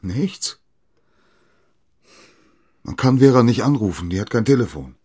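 An actor playing a bitter, defensive bereaved husband in a radio drama, with a husky voice and a curt, strained manner. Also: male voice, mid-range voice, animated, unhurried, warm tone. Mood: guarded.

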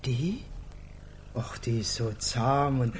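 An actor playing a chatty, folksy pet shop keeper in a 1950s German radio comedy, with colloquial, repetitive patter. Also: male voice, mid-range voice, slow pace, husky. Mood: eager selling.

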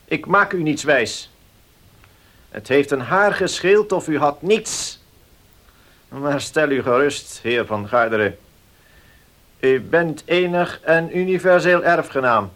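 An actor playing a formal notary in a Dutch radio play, speaking precisely and with measured care. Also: male voice, a little high, a bit bright. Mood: solemn. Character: formal, measured male village notary reading a will.

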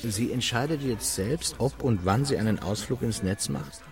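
An actor playing a wry, sceptical colleague in a radio drama, speaking in a dry, blunt, teasing way. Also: male voice, medium pitch, lively intonation, normal speed, soft background music, close to an everyday voice. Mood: probing.

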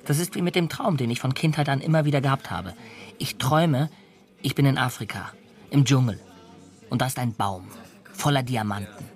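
A man's voice: mid-range voice, moderate pace, faint music behind, natural voice. An actor playing a brooding, anxious, dreamy male drifter in a radio drama, speaking in an earnest, introspective way. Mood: brooding, intense.

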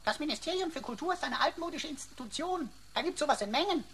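A woman's voice: high voice, lively intonation, quick pace, fairly bright tone. A woman playing a cheeky, wisecracking talking computer sidekick with mocking, mock-pompous wordplay in a radio drama.

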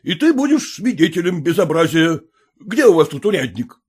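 Storybook role: blustering, curt gentleman husband played by a male narrator, high-pitched, imperious, animated. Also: brisk, breathy edge. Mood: indignant. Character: indignant, blustering gentleman husband, easily outraged.

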